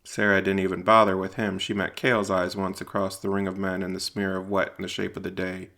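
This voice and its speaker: A man narrating a scripted audio drama in a measured, deliberate, literary way. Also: low-pitched, completely flat delivery, creaky, sharp tone. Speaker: narrator and writer-performer.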